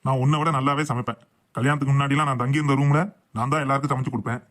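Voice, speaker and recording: Man playing grumbling boastful husband, very quick delivery, mid pitch, comic cartoon dialogue. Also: fairly even intonation, fairly bright tone, close to an everyday voice. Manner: blustering, complaining.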